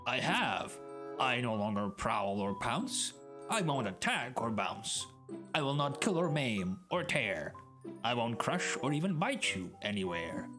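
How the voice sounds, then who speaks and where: A man's voice: medium pitch, sing-song, unhurried. A man voicing a big, ugly, man-eating lizard man in a storybook, pompous and declamatory with archaic flourishes. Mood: solemn, boastful. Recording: music added behind the voice.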